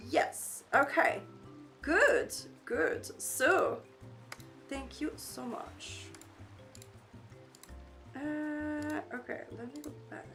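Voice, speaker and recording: A woman's voice: high-pitched, creaky, fairly bright tone. A woman streaming a game live, chatting casually with viewers and reading their messages aloud, with frequent fillers and restarts; English is not her first language. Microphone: desk microphone.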